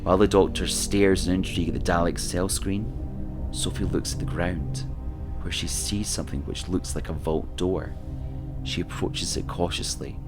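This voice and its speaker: A man's voice: sits low, fairly even intonation, normal speed, over music, lightly acted. A man reading scripted present-tense narration for an audio drama.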